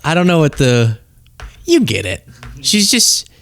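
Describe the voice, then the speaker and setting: A man's voice: on the high side, wildly swooping pitch, a little slow, creaky, fairly bright tone. A man hosting a political commentary show, talking casually and sarcastically, laughing often.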